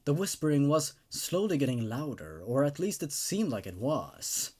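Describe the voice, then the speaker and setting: A man's voice: a little high, sing-song, moderate pace, darkish tone. A man hosting a horror story show, moving between casual commentary and reading stories aloud. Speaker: host and reader of an online horror story show.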